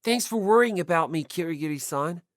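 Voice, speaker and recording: A woman's voice: lowish voice, expressive, fairly quick, no echo, clean studio sound, close to an everyday voice. A woman voicing a modest, kindly teenage schoolboy in an audiobook, sincere and easily flustered. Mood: startled, earnest.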